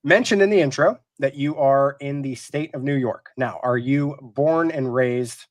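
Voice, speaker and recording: A man's voice: mid-range voice, measured pace, dry recording. Male host of a live video-call show, under the weather and sniffly, rambling friendly chat, dry close sound. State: stuffy from a cold.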